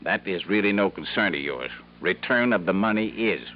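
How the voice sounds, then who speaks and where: An actor playing a stingy, self-important company boss who answers curtly and indignantly. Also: male voice, low voice, animated, moderate pace. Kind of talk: 1950s radio drama acting. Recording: dull old radio broadcast with faint hiss.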